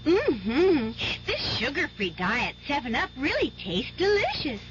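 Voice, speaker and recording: Perky, bossy fairy-tale girlish pitchwoman, very high swooping voice, seventies radio ad, muffled sound. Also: huge pitch swings, a little slow, fairly bright tone, heavily acted. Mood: self-satisfied. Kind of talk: 1970s radio commercial acting. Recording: dull, noisy 1970s radio broadcast.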